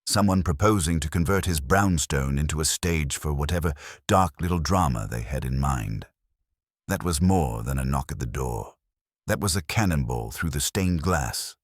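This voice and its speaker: A man narrating a first-person detective story in a wry, dramatic way, with a gravelly, husky voice. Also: very deep voice, dry recording, studio quality.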